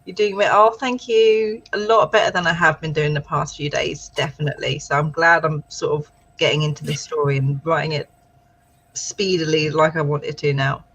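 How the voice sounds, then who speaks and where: A woman's voice: low-pitched, steady tone, normal speed, a bit bright, slightly rough, dull audio. A British woman co-hosting a casual writing livestream, chatting and reading out viewer comments encouragingly. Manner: chatty, encouraging, thinks aloud. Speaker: livestream co-host.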